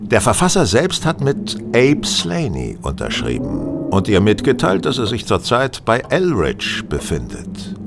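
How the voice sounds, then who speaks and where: A male actor playing a shrewd, self-assured detective who explains things in measured, eloquent detail in a German audio drama. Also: mid-range voice, huge pitch swings, vocal fry, noisy background, exaggerated voice. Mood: explanatory.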